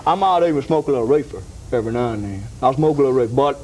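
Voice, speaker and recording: A man's voice: mid-range voice, lively intonation, rapid-fire, darkish tone, low-quality recording. A man giving a rambling comic testimonial in a folksy, repetitive, emphatic way.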